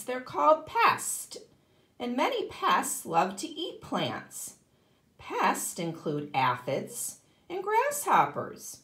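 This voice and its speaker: A woman's voice: mid-range voice, fairly bright tone, roomy sound. A woman teaching kindergarten, reading a children's book aloud expressively and explaining it to young listeners. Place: indoor room, at a table.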